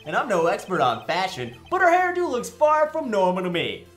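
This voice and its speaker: A theatrical, jokey male defense lawyer from a comedic game dub, played by a woman. The delivery is extremely animated and sarcastic. The voice sounds roomy, with music underneath. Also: mid-range voice, a little fast. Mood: cocky. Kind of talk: comedic video game fandub.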